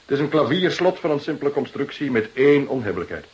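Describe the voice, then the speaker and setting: A man's voice: mid-range voice, sing-song, fast, darkish tone, lo-fi sound, close to an everyday voice. A man playing an earnest, dutiful junior police detective in a Dutch radio drama, explaining eagerly and repeating himself. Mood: matter-of-fact.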